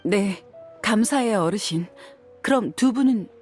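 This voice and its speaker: A woman's voice: mid-range voice, animated, measured pace, a little mellow, faint music behind, natural voice. A woman voicing a tough young mercenary in a video game, blunt and firm, proud with a bitter edge. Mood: subdued. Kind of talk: dubbed video game acting.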